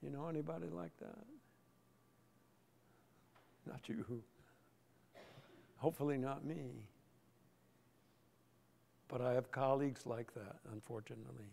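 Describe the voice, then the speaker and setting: An older man, a pastor, preaching a sermon from the pulpit with slow, emphatic delivery and deliberate pauses. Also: medium pitch, fairly even intonation, creaky, mellow. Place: large church sanctuary, at the pulpit. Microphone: pulpit microphone. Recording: long church reverb.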